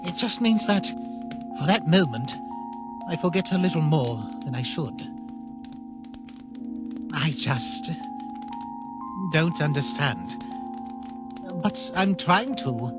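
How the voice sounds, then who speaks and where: Casting: male actor as kind-hearted naive skeleton brother for a comic dub, halting, heartfelt delivery, music underneath. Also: on the high side, animated. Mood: hesitant, sincere. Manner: earnest, halting, softly pleading. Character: earnest, gentle, naive male skeleton, caring younger brother. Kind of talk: dramatic comic dub acting. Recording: voice over background music.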